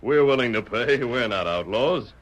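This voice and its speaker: An actor playing a masked heroic frontier lawman in a 1930s radio drama, measured and courteous. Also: medium pitch, expressive, fairly bright tone. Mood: calm. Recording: scratchy old radio recording.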